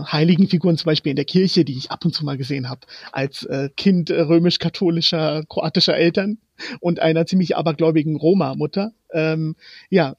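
A young man whose first language is Romani speaks as an interview guest, pausing often to hunt for the right word. His voice sits fairly high and carries a gravelly, husky edge. The recording is dry and a little dull, like lo-fi sound. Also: no echo. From Germany. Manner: halting, pausing often to find words. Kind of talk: interview conversation.

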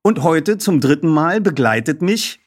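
Man hosting and reading, very varied pitch. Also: sing-song, unhurried. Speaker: presenter reading from a novel. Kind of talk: casual hosting and audiobook narration.

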